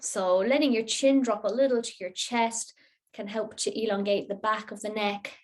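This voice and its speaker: Gentle woman guiding relaxation. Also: mid-range voice, fairly even intonation. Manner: gentle, unhurried, soothing. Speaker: yoga nidra teacher guiding a class. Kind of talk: guided relaxation instruction.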